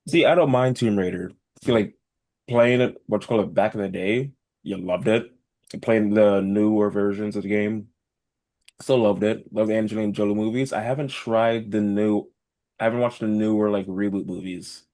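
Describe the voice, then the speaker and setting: A man's voice: on the low side, fairly even intonation, normal speed, a little mellow. A man chatting casually as a podcast co-host, with informal, repetitive phrasing. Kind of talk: casual chat.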